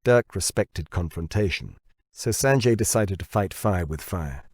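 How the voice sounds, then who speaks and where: Male audiobook narrator for fiction, lower register, dry recording. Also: lively intonation, a little slow, darkish tone, no echo.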